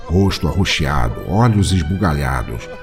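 Brazilian guy narrating a comedy audio drama, deep animated voice, theatrical, loud music behind. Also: moderate pace.

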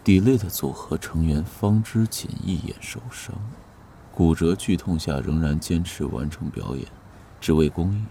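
A man's voice: sits low, measured pace, creaky, background noise. A man playing a subdued young idol singer in a Chinese audio drama, understated and laconic. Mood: dry.

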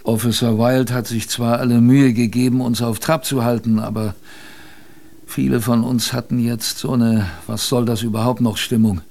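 A man's voice: medium pitch, level tone, normal speed, gravelly, a little mellow, close to an everyday voice. A man playing a former polar explorer who recounts past hardships in a wry, conversational way, as narrator of a German radio drama. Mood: grave, reflective. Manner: wry, colloquial, thoughtful.

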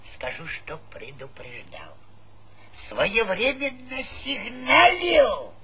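A man acting a pompous, humourless village informer in a Soviet stage comedy, with a creaky voice and clipped officialese. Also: high voice, huge pitch swings, slow pace, sharp tone, exaggerated voice. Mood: pompous, grave. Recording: noisy old theatre recording.

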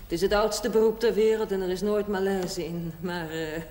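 An actress playing a proud, middle-aged woman with a hard past in a television drama, telling her story bluntly and deliberately. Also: mid-range voice, fairly even intonation, dark tone, natural voice. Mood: blunt, challenging. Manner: blunt, matter-of-fact, deliberate. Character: frank, proud 45-year-old former prostitute telling her life. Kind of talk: theatrical monologue in television drama. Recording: echoey, faintly noisy 1970s TV recording.

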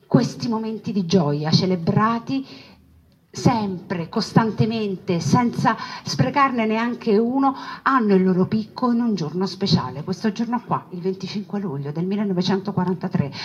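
A woman performing a narrative monologue for an audience, expressive, with conversational asides and repeated phrases. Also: medium pitch, husky, muffled recording. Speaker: stage storyteller, monologue performer.

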